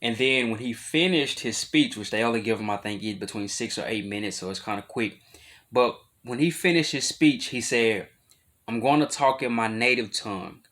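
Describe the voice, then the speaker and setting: An adult man, talking casually to his viewers in a loose, rambling way, full of fillers and restarts, rising in volume when he drives a point home. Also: moderate pace. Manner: grows louder and higher when making a point. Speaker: vlogger. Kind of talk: casual talk to camera.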